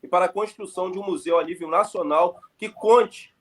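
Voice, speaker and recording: A man's voice: sits high, fairly even intonation, a little fast. Impassioned man, rally-speech style. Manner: impassioned, speech-like, repeats key points. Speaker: activist guest. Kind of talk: political live-stream discussion.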